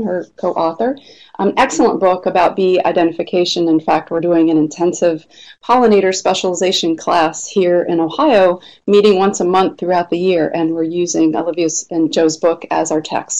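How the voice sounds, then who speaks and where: A woman's voice: lowish voice, poor audio. A woman hosting a webinar, introducing the guest speaker with enthusiasm and a few uhs and ums. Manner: enthusiastic, warm introduction with fillers. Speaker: webinar host. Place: Ohio.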